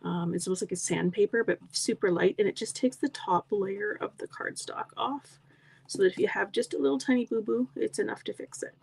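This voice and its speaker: A woman's voice: mid-range voice, brisk, gravelly, darkish tone, slightly rough. Woman, middle-aged, live craft tutorial, chatty asides, slightly froggy voice. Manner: chatty, friendly asides to viewers. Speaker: card-making demonstrator.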